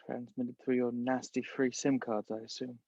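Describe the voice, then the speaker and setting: A man acting a shaken, defiant spy in a table read, halting and understated. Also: medium pitch, flat delivery, creaky, a little mellow, no room sound. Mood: dry defiance. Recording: dull, dry lo-fi call audio.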